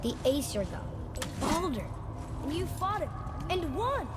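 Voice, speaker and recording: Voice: high-pitched, big pitch swings, slow, full character voice. A young performer playing a curious, earnest boy in a video game, inquisitive and unguarded. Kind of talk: video game cutscene acting.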